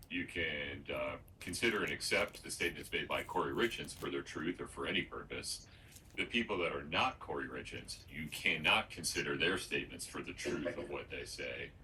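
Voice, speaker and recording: A man speaking as a judge, giving formal, measured instructions to a jury in a courtroom. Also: extremely low pitch, bright, forceful tone. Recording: noisy courtroom audio, distant mic.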